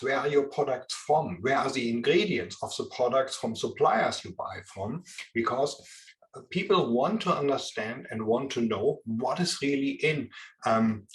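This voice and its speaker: German-accented male expert, measured and explanatory, mid pitch, recorded in a roomy, echoey space. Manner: measured, explanatory, frequent ums. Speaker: interviewee, procurement expert. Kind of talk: expert interview. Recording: roomy echo.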